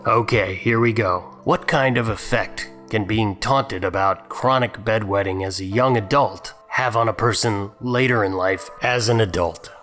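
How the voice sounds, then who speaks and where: A man's voice: on the low side, a little slow, few pauses, fairly bright tone, faint music behind. A man hosting a dramatic reading, adding sarcastic, mocking commentary of his own. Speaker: host.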